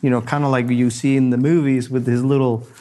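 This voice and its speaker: A man's voice: medium pitch, flat delivery, a little fast, warm tone. A man from Argentina hosting an interview, chatty and agreeable, with lots of restarts as he asks questions. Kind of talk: casual interview chat.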